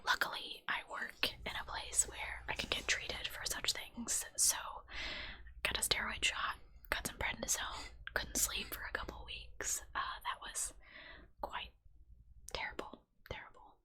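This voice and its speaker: A woman who works in healthcare, chatting in a soft, rambling whisper full of fillers. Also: measured pace. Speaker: healthcare worker. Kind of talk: whispered ASMR chat.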